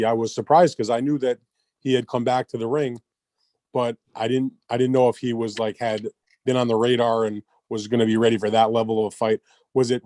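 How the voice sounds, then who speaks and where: Mellow male reporter, wordy questions. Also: medium pitch, no room sound. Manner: wordy, roundabout. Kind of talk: conversational press questioning. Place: remote media conference call.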